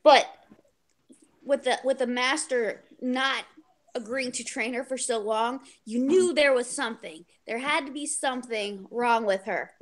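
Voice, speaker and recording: A woman's voice: on the high side, measured pace. A woman in a casual group book discussion on a video call, joking bluntly and swearing freely as she steers the conversation. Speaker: book club discussion host.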